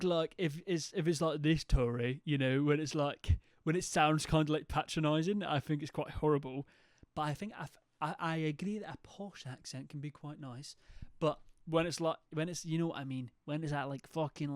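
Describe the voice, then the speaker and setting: A young man chats loosely, swearing and rambling as he reads messages from his stream's chat. His voice sits a touch high for a man. The sound is close and completely dry. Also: no echo. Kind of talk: casual chat reading viewer messages.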